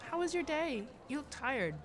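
A woman's voice: high voice, big pitch swings, normal speed, faint music behind. A woman acting as a sweet teenage girl with a crush, eager yet tentative, in a fiction podcast. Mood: concerned, hurt. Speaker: voice actor.

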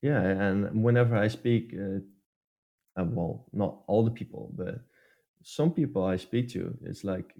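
Dutch-accented man, low dark voice. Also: unhurried, vocal fry. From Netherlands. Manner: hesitant, many fillers and restarts. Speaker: podcast co-host. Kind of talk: casual advice chat.

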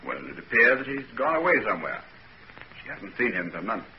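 A worried bluff gent friend in a 40s radio serial, old muffled sound. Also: male voice, medium pitch, big pitch swings, brisk. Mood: probing. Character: loyal, worried, bluff English gentleman friend. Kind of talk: 1940s radio drama acting. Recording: dull, noisy old 1940s radio recording.

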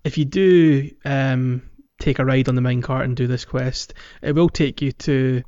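A man hosting a podcast, chatting casually about a video game in a hesitant, halting way with repeated words. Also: medium pitch, steady tone, dark tone, smooth, no echo, lo-fi sound. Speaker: podcast host.

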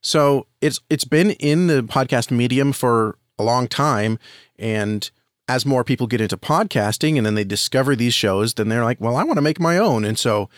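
A man's voice: medium pitch, normal speed, dry recording, clean studio sound. An American man giving earnest, enthusiastic conversational answers as a guest in an interview. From United States. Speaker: podcast guest, audio drama reviewer and podcaster.

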